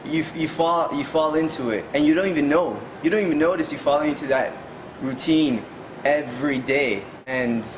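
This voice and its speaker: A man's voice: on the high side, moderate pace, echoey room, background noise. Reflective young man from Miami. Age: 20s. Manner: reflective, repeating words. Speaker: reality show cast member. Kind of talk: confessional interview.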